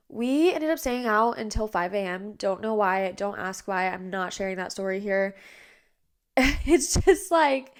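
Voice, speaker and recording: A young woman in her twenties hosting a podcast from her bedroom, chatting casually, a bit nasal and congested, tired but bubbly, with lots of fillers. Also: medium pitch. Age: 20s. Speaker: podcast host. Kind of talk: casual chat. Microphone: iPad on a tripod.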